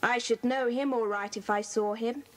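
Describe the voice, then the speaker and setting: High-voiced earnest British schoolgirl played by a woman. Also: fairly even intonation, normal speed, close to an everyday voice. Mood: earnest reporting. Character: earnest, efficient young schoolgirl. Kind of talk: children's audio drama acting.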